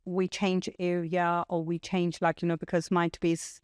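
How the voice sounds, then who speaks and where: Female podcast host, informal and halting. Lowish voice, dry close mic. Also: level tone, clear, resonant tone, no echo. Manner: informal, halting phrasing with fillers. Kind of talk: interviewing a guest.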